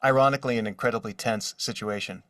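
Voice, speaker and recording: A man in his forties speaking casually as an interview guest, telling stories in an animated manner from his studio. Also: mid-range voice, fast, no room sound, distant mic. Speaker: guest, voice actor. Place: his own voiceover studio.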